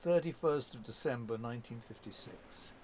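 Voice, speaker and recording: A man's voice: mid-range voice, moderate pace, darkish tone, a little hiss or hum. An older man reminiscing in an interview, telling rambling, anecdotal stories from his past. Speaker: documentary subject.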